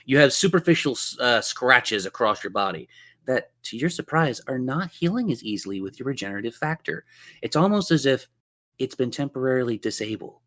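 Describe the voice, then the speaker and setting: A man's voice: mid-range voice, expressive, a little fast, fairly bright tone. A man improvising dramatic, descriptive narration as game master of a tabletop roleplaying game.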